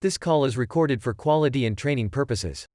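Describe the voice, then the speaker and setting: A man's voice: medium pitch, lively intonation, fairly quick, darkish tone, no echo, studio quality. A man delivering a scripted automated phone menu greeting for callers.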